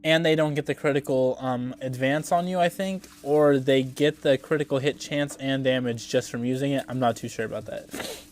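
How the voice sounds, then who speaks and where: A man's voice: medium pitch, steady tone, moderate pace. Congested young man casually narrating gameplay. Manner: rambling, thinks aloud, casual swearing. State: congested from a cold. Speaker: gameplay streamer.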